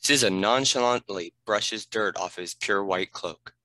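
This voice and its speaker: A man's voice: lowish voice, measured pace, pushed and bright, no room sound. A man reading scripted stage directions as a narrator.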